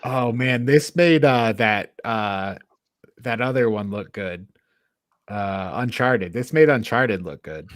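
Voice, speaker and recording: A man's voice: fairly low pitch, slow pace, no room sound, clean studio sound. A man co-hosting a podcast, chatting casually about a film in colloquial, emphatic language. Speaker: podcast co-host. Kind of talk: casual film review chat.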